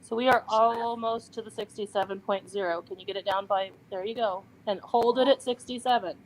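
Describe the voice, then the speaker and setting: A woman's voice: mid-range voice, fairly even intonation, a little fast, a little hiss or hum, poor audio. A woman investigating a haunted manor, steadily reading out what her device says and giving instructions to her team. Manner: reads out device words matter-of-factly. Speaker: paranormal investigator. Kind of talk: live ghost-hunt commentary.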